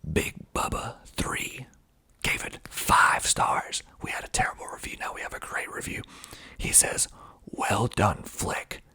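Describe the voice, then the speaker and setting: A man speaking in a breathy, husky ASMR whisper, reading aloud and adding deliberate, dry asides. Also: bright, forceful tone. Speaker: filmmaker hosting his own video.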